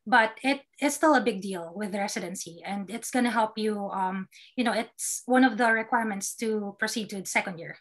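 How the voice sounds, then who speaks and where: A woman's voice: medium pitch, normal speed, few pauses, fairly bright tone. A young woman from the Philippines with a Filipino accent, answering casually as an interview guest with hesitant phrasing. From Manila, Philippines. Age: young adult. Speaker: interview guest, newly matched doctor.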